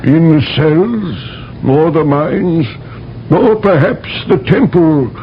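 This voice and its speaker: A solemn slow alien doctor. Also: male voice, on the high side, animated, a little hiss or hum. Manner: measured, formal, slow speech. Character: solemn male alien physician, fatalistic. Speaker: physician third class. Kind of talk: 1970s radio drama acting. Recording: dull old radio broadcast.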